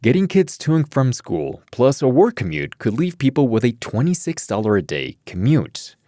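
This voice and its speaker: A man's voice: mid-range voice, wildly swooping pitch, moderate pace, dark tone, no echo. An American man hosting and reporting in a scripted public radio news style, close on a studio microphone. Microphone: close studio microphone, dry.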